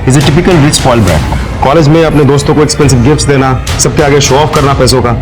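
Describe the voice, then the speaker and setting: Actor as quick-talking, skeptical police officer. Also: male voice, mid-range voice, lightly acted. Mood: businesslike. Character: brisk, skeptical male junior police officer. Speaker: actor in a TV crime serial. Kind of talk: Hindi TV crime drama acting. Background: dramatic music.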